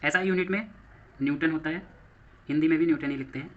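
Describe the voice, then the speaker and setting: Male Indian lecturer, higher-than-average voice, explanatory and repetitive, dull lo-fi audio. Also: quick pace. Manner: explanatory, repeats phrases and asks himself questions. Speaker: physics teacher for exam coaching. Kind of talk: classroom lecture mixing Hindi and English terms.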